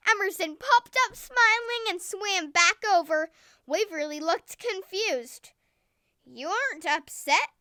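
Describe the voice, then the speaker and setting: A woman narrating a scripted bedtime story for young children in an expressive, deliberate, clearly worded way. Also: extremely high pitch, no echo, clean studio sound. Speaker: storyteller and show host.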